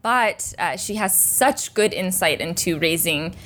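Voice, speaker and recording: A woman's voice: lowish voice, expressive, a little slow, fairly bright tone. Woman host over faint background hiss. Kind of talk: casual interview conversation.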